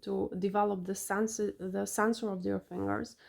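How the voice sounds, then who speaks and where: A woman's voice: medium pitch, little pitch movement, normal speed, creaky, noisy background. A woman with an Albanian accent, a native Albanian speaker, giving earnest parenting advice in a casual vlog with careful non-native phrasing. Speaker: parent vlogger.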